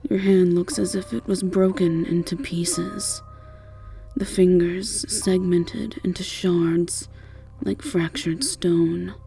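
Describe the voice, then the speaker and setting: A woman's voice: on the low side, gravelly, mellow. A woman with an English accent narrating a scripted second-person story. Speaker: narrator and voice actor.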